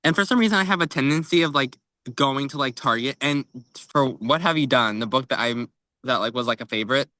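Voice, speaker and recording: A man's voice: mid-range voice, fairly quick, sharp tone, dry recording. A man co-hosting a book podcast in casual, informal chat, often padding his phrases with "like". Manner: informal, tentative phrasing with frequent "like". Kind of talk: casual chat about books.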